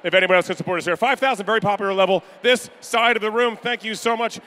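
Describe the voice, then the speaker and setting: A man calling pledges at a charity gala in a banquet room, energetic and upbeat with rapid-fire banter to the crowd. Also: high voice. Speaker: charity auctioneer. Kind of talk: live fundraising pledge calling.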